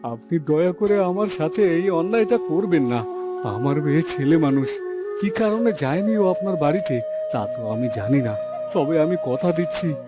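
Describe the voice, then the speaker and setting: A man's voice: fairly high pitch, lively intonation, moderate pace, close to an everyday voice. A man voicing a humble, middle-aged poor farmer and father in a melodramatic cartoon, deferential and pleading. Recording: background music under the voice.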